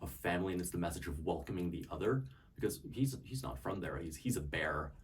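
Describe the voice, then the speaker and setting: A young man with a deep, low voice answers interview questions thoughtfully, stopping now and then to find the right words. He sits a little away from the microphone, so he sounds somewhat distant. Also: lively intonation, brisk, slight background noise. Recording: voice picked up from a distance.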